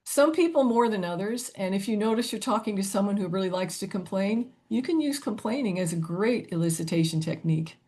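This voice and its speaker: An older woman presents a webinar in a chatty, conversational way, though her voice still sounds youthful. Her pitch swings up and down as she talks, and she keeps checking in with her listeners with "you know" and "right?". She sits at a middle pitch, neither high nor low. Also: animated, a little fast, few pauses, a little mellow.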